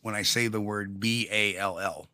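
A man with a New York accent co-hosting a casual live chat show, talking in a folksy, blunt way with drawn-out emphasis. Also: on the low side, steady tone, noisy background. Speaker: co-host.

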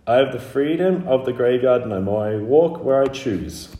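A man's voice: mid-range voice, very animated, normal speed, vocal fry, dark tone, roomy sound, pitched above the performer's own voice. A man voicing an earnest, curious, plucky young boy in a storybook. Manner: earnest, inquisitive.

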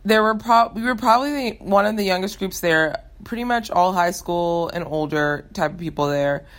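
A woman's voice: on the low side, moderate pace. A young American woman hosting a casual, upbeat question-and-answer show, chatting informally to listeners. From United States. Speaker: host of a Q&A show.

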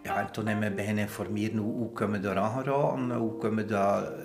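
A man's voice: on the low side, steady tone, fast talker, roomy sound, over music. A man recounting events in a plain way, adding agreeing asides. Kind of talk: casual interview.

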